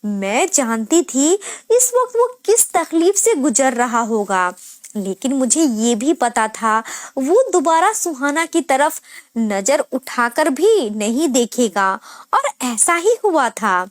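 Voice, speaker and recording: High-pitched, animated woman narrating a story. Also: slow pace, no echo, studio quality. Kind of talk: scripted first-person narration of an emotional family story.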